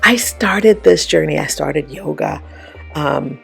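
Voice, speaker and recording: A woman with a raspy voice giving casual motivational advice to her viewers, often checking in with "okay?" and "right?". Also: low-pitched, animated, slow pace, fairly bright tone, soft background music. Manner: chatty, frequent "okay?" and "right?" check-ins. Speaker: vlogger and life coach. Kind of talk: casual motivational talk to camera.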